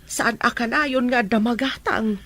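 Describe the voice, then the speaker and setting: Woman doing worried older filipina nanay, husky, a bit high, ilocano accent, radio drama. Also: measured pace, fairly bright tone. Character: worried older Filipina mother back home. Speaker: drama voice actor. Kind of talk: dialogue acting in an Ilocano audio drama.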